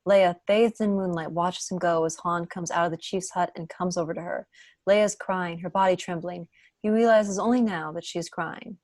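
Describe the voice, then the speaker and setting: A woman with a fairly low voice narrates a script at a table read. She reads out stage directions over a video call, with a dry, close sound. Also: fairly even intonation, moderate pace, darkish tone, no room sound. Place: indoor room on a video call.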